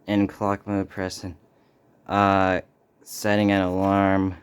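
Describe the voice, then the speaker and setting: A man hosting a casual, unscripted unboxing video, speaking haltingly and muttering to himself as he goes. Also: deep voice, completely flat delivery, clean tone. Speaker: video host doing an unboxing.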